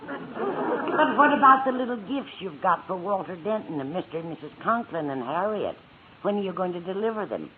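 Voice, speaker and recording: A woman's voice: mid-range voice, very animated, moderate pace, a crowd in the background, close to an everyday voice. Kindly older lady acted by a woman, swooping tinny voice. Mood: kindly, chatty. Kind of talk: 1950s radio sitcom acting. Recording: tinny, hissy old radio broadcast.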